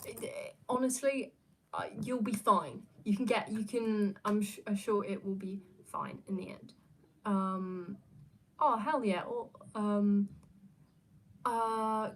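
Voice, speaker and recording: A young woman with an English accent, though she is from New Zealand, chats loosely with her viewers. She rambles and restarts often and fills her pauses with ums. She is self-deprecating and casual as she reads out comments. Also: medium pitch, a bit bright, slight background noise, low-quality recording. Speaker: YouTuber reading viewer comments. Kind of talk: casual chat.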